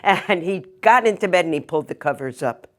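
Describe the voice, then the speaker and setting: A woman's voice: fairly low pitch, animated, fairly quick. A woman telling a fairy tale to children in a dramatic style, with emphatic repetition. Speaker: storyteller.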